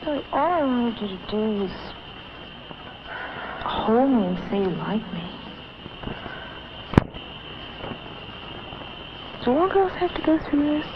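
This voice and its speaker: A woman's voice: fairly high pitch, sing-song, moderate pace. Young woman as anxious teen girl, rambling inner monologue, half-whispered, hissy dull film audio. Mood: pleading. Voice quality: hushed, half-whispered thoughts. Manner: nervous, self-doubting, rambling. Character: anxious teenage girl on a date. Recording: dull old film soundtrack with hiss.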